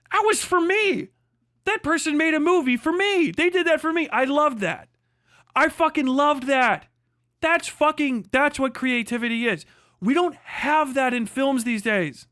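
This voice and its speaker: A man's voice: extremely high pitch, fairly bright tone, no room sound, clean studio sound. A man hosting a livestream, giving an unscripted, opinionated rant in an emphatic, repetitive, heavily profane way. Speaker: livestream host.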